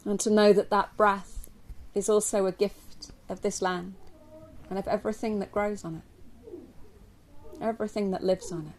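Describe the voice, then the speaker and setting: A woman from England leading a guided yoga nidra meditation for a group, speaking gently and slowly with long pauses. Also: medium pitch, rough recording. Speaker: yoga nidra teacher guiding a relaxation. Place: live group session at a camp. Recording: steady ambient noise, live recording.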